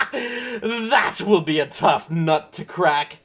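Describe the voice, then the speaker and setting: A woman's voice: medium pitch, lively intonation, very slow, fairly bright tone, slightly rough, full character voice. A woman playing a melodramatic, self-important choreographer with a theatrical, grand delivery in a comic audio drama. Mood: rousing, showy. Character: melodramatic, flamboyant, self-important showbiz choreographer named Milford. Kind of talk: scripted audio comedy drama acting.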